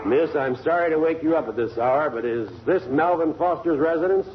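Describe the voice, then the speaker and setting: A man's voice: a little high, a little fast, natural voice. An actor playing a no-nonsense police officer in a 1940s radio comedy, firm and dry as he questions people. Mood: impatient. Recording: muffled, hissy 1940s radio recording.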